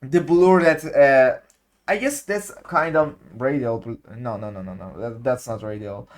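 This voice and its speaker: A man explaining video editing effects, speaking in halting English with many pauses and fillers as he searches for words. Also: medium pitch. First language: Persian. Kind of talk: unscripted screen-recorded tutorial.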